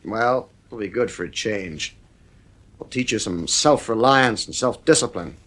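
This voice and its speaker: A man's voice: lowish voice, a little slow, a bit bright. An actor playing a stern, exasperated middle-aged father in a 1950s radio drama, speaking in a firm, clipped, authoritative way.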